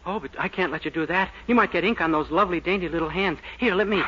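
An actor playing a naive, excitable young man in love, in an eager, comic 1940s radio sitcom style. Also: high voice, fast talker, breathy edge, full character voice. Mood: gallant. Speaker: comedic lead, Dennis. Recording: noisy, dull old radio recording.

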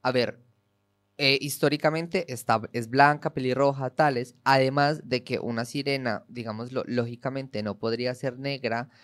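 A man's voice: medium pitch, steady tone, moderate pace, fairly bright tone, dry recording. Casual man talking with fillers, dry sound. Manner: chatty, filler-laden. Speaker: podcast co-host. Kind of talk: casual conversation.

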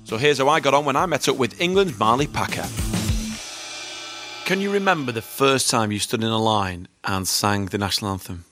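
A man's voice: mid-range voice, expressive, fairly quick, music in the background. Jokey male interview host. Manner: jokey, teasing, upbeat. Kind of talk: casual interview chat.

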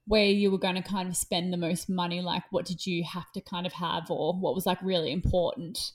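A woman's voice: on the low side, little pitch movement, brisk. A woman hosting a podcast, asking casual questions full of hedging fillers. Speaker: podcast host. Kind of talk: casual interview.

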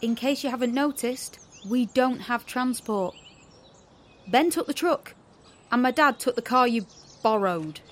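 An actress playing a sarcastic, anxious young rebel in a dystopian audio drama, expressive and easily exasperated. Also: female voice, fairly high pitch, a little slow, natural voice. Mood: sarcastic, doubtful. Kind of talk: audio drama acting with first-person narration.

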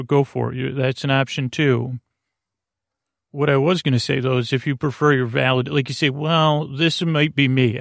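Man, medium pitch, creaky fry, hesitant rambling, lots of filler words, gentle sleep podcast host. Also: no echo, clean studio sound. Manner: meandering, hesitant, filler-heavy with tangents; gentle, soothing, quietly whimsical. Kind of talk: rambling bedtime monologue.

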